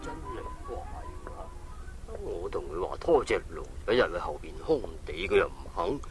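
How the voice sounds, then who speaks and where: An actor playing a sly, greedy, fawning innkeeper in a Cantonese audio storybook drama from Hong Kong, stammering and muttering to himself. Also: male voice, deep voice, big pitch swings, moderate pace, over music. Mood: suspicious, scheming. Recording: old cassette with faint noise.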